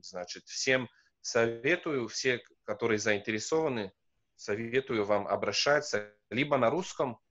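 A man's voice: medium pitch, measured pace, fairly bright tone, highs cut off. A man in his thirties, a professor and non-native speaker, answering on a video call with hesitant, filler-laden speech. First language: not Russian. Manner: hesitant, many fillers and restarts. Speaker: professor, guest. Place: wood-panelled room indoors.

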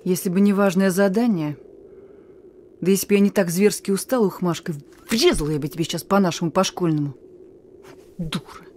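A woman's voice: on the low side, a little fast, darkish tone, a little hiss or hum, natural voice. An actress playing a weary but defiant young woman messenger in a radio play, talking in a familiar, needling way. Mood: defiant, irritated. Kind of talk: Russian radio play acting. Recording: radio drama with music.

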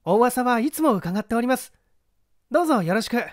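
Man voicing a high-pitched, self-assured boy detective. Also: fairly quick, no room sound, studio quality. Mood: polite. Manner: modest, courteous, calm.

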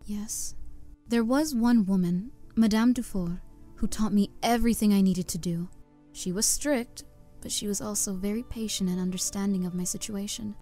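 A woman's voice: medium pitch, fairly even intonation, moderate pace, dark tone, natural voice. A woman voicing an earnest young opera chorus singer who reflects on her past, in a fan audio drama with faint music behind.

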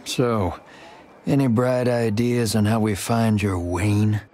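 An actor playing an aloof, cynical Old West mercenary in a video game, dry and unimpressed. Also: male voice, lowish voice, steady tone, slow pace, darkish tone. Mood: dry, reluctant. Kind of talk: video game western acting. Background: music.

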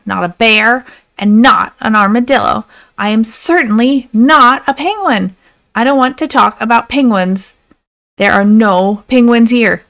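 A woman's voice: fairly high pitch, sharp tone, exaggerated voice. A woman voicing an earnest, exasperated pangolin who insistently corrects others in a read-aloud storybook. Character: exasperated, earnest pangolin trying to explain himself.

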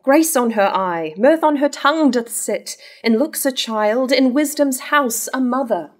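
A proud, honourable young nobleman read by a young woman speaks in formal verse. The voice sits fairly high, and he comes across as a devoted lover in a classical stage play. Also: expressive, measured pace. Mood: firm, devoted. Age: young adult. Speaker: actor in a staged reading. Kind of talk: formal verse drama reading. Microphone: stand mic at lectern.

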